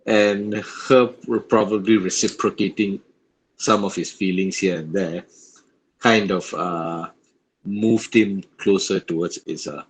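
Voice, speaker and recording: Deep male voice, actor in an interview, reflective conversational talk, faint background noise. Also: fairly even intonation, a little slow, poor audio.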